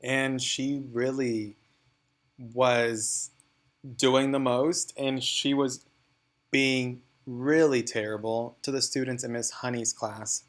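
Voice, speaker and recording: A man reading a children's storybook aloud as narrator, in an expressive, deliberate and dramatic way. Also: medium pitch.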